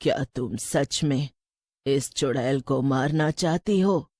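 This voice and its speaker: Woman acting a slow, wise older village woman. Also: a bass voice, a little mellow, no room sound, studio quality, exaggerated voice. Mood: grave, advising. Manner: measured, advising. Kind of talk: animated Hindi story acting.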